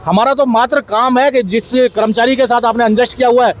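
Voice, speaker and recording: Fast, insistent union leader, high voice. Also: male voice, steady tone, a little hiss or hum. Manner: insistent, repeats points. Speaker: union president. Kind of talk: on-the-spot protest interview. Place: outdoor protest site by a street.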